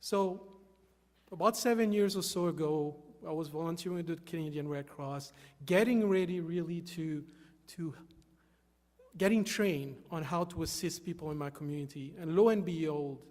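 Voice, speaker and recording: A man's voice: fairly high pitch, normal speed, darkish tone. Earnest man in a reverberant hall. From Haiti. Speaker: volunteer speaker. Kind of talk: short stage talk. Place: hall with an audience. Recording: long reverb of a large hall.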